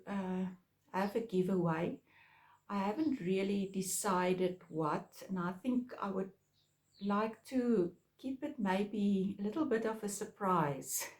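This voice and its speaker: A woman's voice: mid-range voice, a little mellow, reverberant. A woman from South Africa, with Afrikaans as her first language and an Afrikaans accent, chatting casually and hesitantly to her viewers as a craft podcast host. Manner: hesitant, many pauses and fillers.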